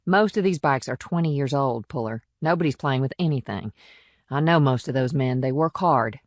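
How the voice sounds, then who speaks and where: A man's voice: medium pitch, lively intonation, moderate pace, dry recording, pitched above the performer's own voice, natural voice. A firm small-town policewoman, acted out by a male narrator in a crime audiobook. The delivery is plainspoken and matter-of-fact, with a protective edge toward the locals. The sound is dry, with very little room. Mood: defensive. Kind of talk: audiobook character dialogue.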